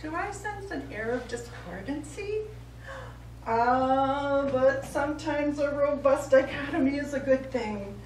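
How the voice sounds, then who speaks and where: A woman's voice: high voice, lively intonation, a little slow. A middle-aged actress playing a pompous, easily wounded woman painter on stage, in florid, grandiloquent style. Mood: grandly musing. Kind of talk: live stage comedy acting. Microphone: distant audience camera. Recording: echoey small theatre, distant mic, faint noise.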